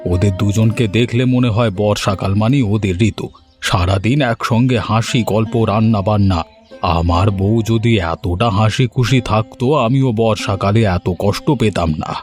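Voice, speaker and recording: A man playing a good-natured, flattering middle-aged village farmer in an animated story, folksy and wheedling. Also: on the low side, a little slow, faint music behind, lightly acted. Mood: grumbling.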